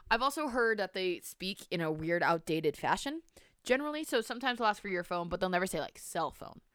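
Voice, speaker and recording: Twenties woman, podcast co-host, casual and profane, smooth clear voice, dry close mic. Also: on the low side, no room sound. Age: 20s. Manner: swears freely, teasing and animated. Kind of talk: casual chat and storytelling.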